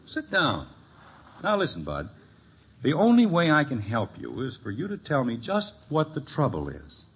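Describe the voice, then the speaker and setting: Animated middle-aged American sitcom dad. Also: male voice, medium pitch, normal speed, slightly rough, heavily acted. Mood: earnest coaxing. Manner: earnest, fatherly, blustering. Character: well-meaning, easily exasperated suburban father. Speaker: actor in a family situation comedy. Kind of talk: 1950s radio sitcom acting. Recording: muffled, noisy old radio recording.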